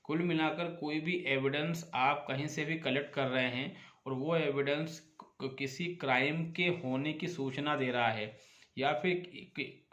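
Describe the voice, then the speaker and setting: Higher-voiced man, echoey room. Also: fairly bright tone. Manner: argumentative, emphatic, poses rhetorical questions. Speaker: advocate giving legal advice as channel host. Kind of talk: informal legal explainer addressed to viewers. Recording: echoey, roomy sound.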